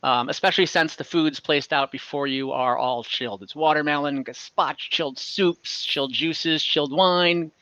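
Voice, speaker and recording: Bright-voiced man running a game, muffled call. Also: on the high side, no room sound. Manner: halting, pausing to think as he describes scenes. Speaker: game master. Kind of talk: narrating an online tabletop game. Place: indoor room on a group video call. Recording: dull video-call audio.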